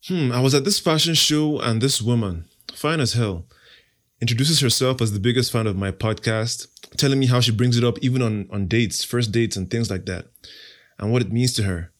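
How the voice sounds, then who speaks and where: A man's voice: medium pitch, moderate pace. A young man from Nigeria, an Igbo speaker, answering interview questions in a confident, playful, self-assured way. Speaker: podcast host, interview guest.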